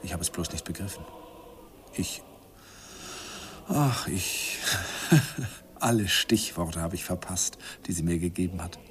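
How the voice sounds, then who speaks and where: A man's voice: low-pitched, unhurried, pauses often, fairly bright tone, slight background noise. An actor narrating a radio play as an older man recalling his youth with wistful, self-mocking irony. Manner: wistful, self-ironic reminiscing.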